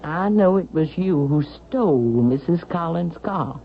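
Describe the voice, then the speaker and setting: An actress playing a proud, suspicious elderly Southern mother in a vintage radio drama, haughty, sharp and demanding. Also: fairly low pitch, unhurried. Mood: cold, accusing. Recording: dull vintage radio broadcast, faint noise.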